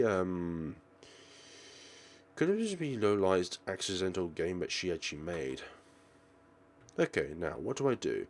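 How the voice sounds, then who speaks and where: A man with a fairly low voice narrates a visual novel for a let's play. His pitch moves around a lot as he reads dramatically, now and then tripping on a phrase and going over it again. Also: darkish tone. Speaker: let's player reading a visual novel aloud. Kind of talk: game commentary with dramatic read-aloud narration.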